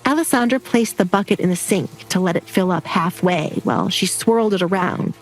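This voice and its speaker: A woman's voice: on the low side, animated, a little fast, poor audio. A woman narrator reading a scripted, dramatic audio story with music behind her.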